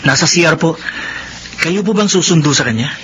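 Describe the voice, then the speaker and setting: An actor playing a dutiful, earnest young Filipino student who speaks respectfully, in a radio drama. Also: male voice, on the high side, animated, fairly quick, close to an everyday voice. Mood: polite, nervous. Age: young adult. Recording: muffled audio, clear background noise.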